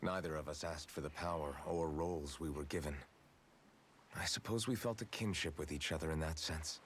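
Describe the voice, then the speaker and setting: An actor playing a guilt-ridden, earnest young fantasy warrior in a video game, speaking in a solemn, measured way. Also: male voice, a bass voice. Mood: guilty, solemn. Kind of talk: dramatic video game voice acting.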